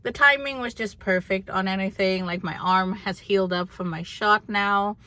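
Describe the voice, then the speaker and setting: A young woman chatting casually and candidly to her vlog audience in a rambling, animated way. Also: mid-range voice, moderate pace, dry recording. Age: young adult. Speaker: vlogger.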